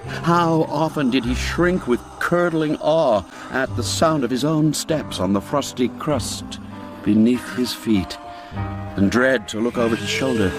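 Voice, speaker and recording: A man narrating a classic radio drama, telling it expressively and rising and falling with the suspense. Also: medium pitch.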